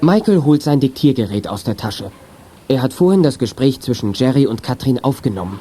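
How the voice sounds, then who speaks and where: A man narrating a youth detective radio play in a measured, matter-of-fact, scripted manner. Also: medium pitch, very animated, dark tone, breathy edge.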